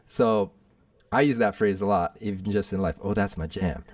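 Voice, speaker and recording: A young man with a low voice who speaks from a distance from his microphone. The audio is dry and dead on a video call, and he muses in a rambling, thoughtful way. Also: normal speed, no echo. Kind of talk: casual reflective chat.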